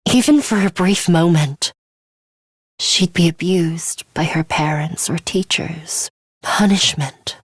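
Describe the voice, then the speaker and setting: A woman's voice: lowish voice, slightly rough, no echo, studio quality. A woman voicing a cold, bloodthirsty young female fighter in an English video game, formal and menacing with theatrical flourishes.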